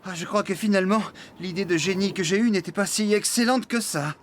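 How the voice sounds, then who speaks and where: An actor playing a hapless, boastful, excitable farmhand in a French children's cartoon, eager and exaggerated. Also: male voice, high-pitched, a little fast, a little hiss or hum, natural voice. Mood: sheepish, puzzled. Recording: music under the voice.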